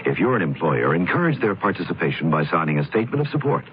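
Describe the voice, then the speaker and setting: A man's voice: low voice, sing-song, quick pace. A man reading a scripted public service announcement for radio. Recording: dull old broadcast, faint noise.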